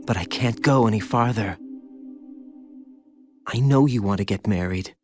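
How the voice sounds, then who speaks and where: An actor playing a haunted young soldier and former child soldier, bitter and guilt-ridden, in an anguished video game cutscene. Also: male voice, fairly low pitch, measured pace, natural voice. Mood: resigned sorrow. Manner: anguished, confessional, brooding. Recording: music behind the voice.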